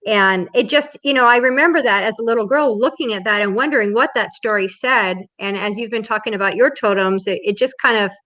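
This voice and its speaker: A woman's voice: mid-range voice, a little fast, few pauses, sharp tone. A woman from Hawaii interviewing conversationally, earnestly explaining and offering long personal asides. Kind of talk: casual, reflective conversational interviewing. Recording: slightly dull, lo-fi sound.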